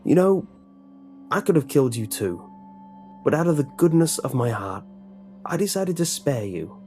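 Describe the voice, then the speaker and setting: A man's voice: a little high, big pitch swings, moderate pace, darkish tone, faint music behind, pitched above the performer's own voice. A man voicing a bitter, menacing female school lunch lady who speaks in clipped, broken English with a put-on accent. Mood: resentful. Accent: put-on foreign accent. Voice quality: clipped, resentful, broken grammar.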